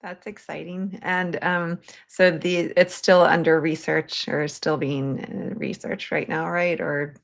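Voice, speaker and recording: A woman's voice: low-pitched, little pitch movement, slow pace, gravelly, hardly any pauses. A woman hosting a podcast in a casual, conversational interview style. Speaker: podcast host.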